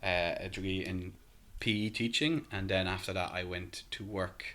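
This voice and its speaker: A man in his thirties from Ireland with an Irish accent, a podcast guest talking casually with many pauses and restarts. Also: low voice, fairly even intonation, sharp tone. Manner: hesitant, many ums and restarts.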